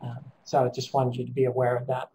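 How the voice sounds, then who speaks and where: A man with a raspy, strained voice presenting an education webinar over a call, pausing often with ums. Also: medium pitch, monotone, dark tone, low-quality recording. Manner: hesitant, many ums, measured.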